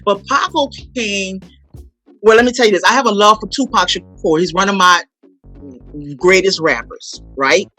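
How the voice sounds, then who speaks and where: A woman's voice: medium pitch, normal speed, bright, forceful tone, soft background music. A woman, chatting casually as an interview guest and telling stories in an emphatic, repetitive way. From New Orleans, United States. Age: 40s. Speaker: guest, playwright and stage actor. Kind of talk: casual promotional interview chat.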